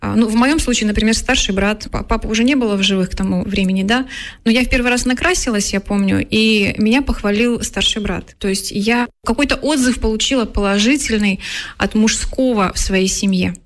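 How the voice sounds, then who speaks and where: A woman's voice: mid-range voice, fairly quick. A woman hosting a talk show, asking questions and steering the conversation in a conversational way.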